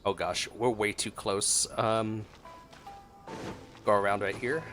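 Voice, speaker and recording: A man's voice: lowish voice, steady tone, slow, a bit bright. A man giving casual, playful commentary as he plays a video game, full of offhand asides. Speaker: streamer reacting to a game. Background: game music.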